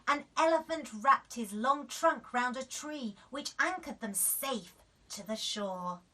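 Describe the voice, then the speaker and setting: A woman reading picture books to children as an expressive storyteller. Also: high voice, takes it slowly, far from the mic.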